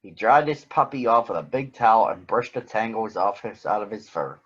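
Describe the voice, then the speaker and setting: A man with a husky voice reads a story aloud for children. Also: on the low side, animated, moderate pace, fairly bright tone, highs cut off. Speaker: storybook reader. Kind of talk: read-aloud narration for children.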